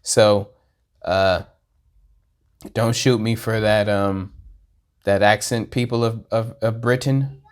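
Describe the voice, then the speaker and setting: A man hosting a casual reaction video, rambling in a halting way and often repeating his words as he talks to himself. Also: fairly low pitch, fairly even intonation, clean tone.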